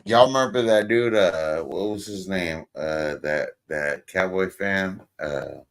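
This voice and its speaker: A man's voice: low voice, slow pace. A man in his forties chatting casually, blunt and curious, asking questions. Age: 40s. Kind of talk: casual chat.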